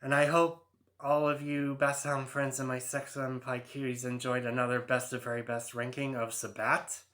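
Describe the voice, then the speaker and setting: A man's voice: medium pitch, little pitch movement, moderate pace, fairly bright tone. A man in his early thirties, hosting a casual album ranking, rambling and hesitant with many fillers and restarts. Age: 30s. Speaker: YouTube host.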